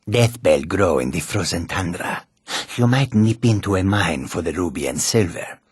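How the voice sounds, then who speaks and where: Fantasy game cat-man trader: very rough, breathy rasp, slow delivery, wary but courteous. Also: deep voice, no room sound, studio quality, exaggerated voice. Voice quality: raspy, gravelly. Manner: deliberate, formal, speaks of self in third person. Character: wary, courteous male cat-folk desert trader and guard. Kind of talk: video game character voice lines.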